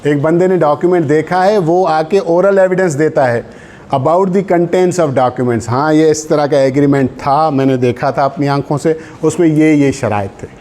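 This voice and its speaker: A man's voice: fairly high pitch, moderate pace, a little mellow. A man with a Pakistani accent giving a law lecture, reading out provisions and explaining them didactically, with short checks for understanding. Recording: faint background noise.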